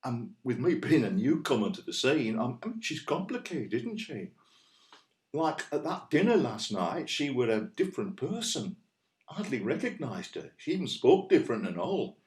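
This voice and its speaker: An actor playing an earnest, anxious Northern boyfriend in an audio play, with a West Yorkshire accent and a hesitant, rambling, colloquial way of talking. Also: male voice, medium pitch, big pitch swings, moderate pace, a little mellow, echoey room, natural voice. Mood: anxious, pleading. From West Yorkshire, England. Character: earnest, anxious, plain-spoken Northern boyfriend, out of his depth.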